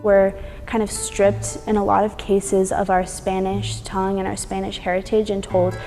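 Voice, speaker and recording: Woman in documentary interview, measured and thoughtful, music bed. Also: mid-range voice, little pitch movement, mellow.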